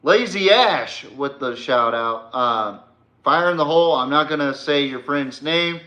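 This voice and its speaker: Slow-paced man with a bright, forceful voice. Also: fairly high pitch, lively intonation, rough recording. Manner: swears casually, emphatic, opinionated fan talk. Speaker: podcast host. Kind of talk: casual live-streamed sports podcast monologue.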